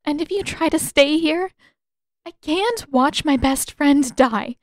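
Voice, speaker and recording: A woman's voice: sits high, takes it slowly, no room sound, clean studio sound, pitched above the performer's own voice. A woman voicing a tearful, determined teenage girl in a fan fiction reading, pleading earnestly and urgently. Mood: desperate, tearful.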